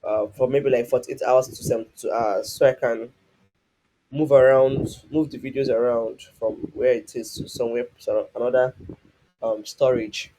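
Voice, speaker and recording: Guy with a husky voice, teaching a class over a call, keeps restarting his sentences. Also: medium pitch, steady tone, normal speed, dark tone, poor audio. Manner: rambling, with many restarts and fillers. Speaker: online class instructor. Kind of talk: live online class. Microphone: online call.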